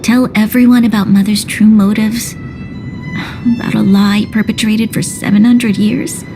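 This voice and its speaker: An actress playing a grave, dutiful young woman leader in a video game cutscene, speaking in a solemn, formal, measured way. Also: on the high side, fairly even intonation. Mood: solemn, uncertain. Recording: orchestral music behind the voice.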